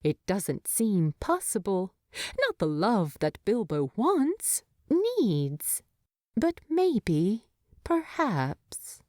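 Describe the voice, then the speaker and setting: A woman narrating a story in an expressive, tender, unhurried way. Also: medium pitch, no echo, studio quality. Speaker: fan fiction narrator.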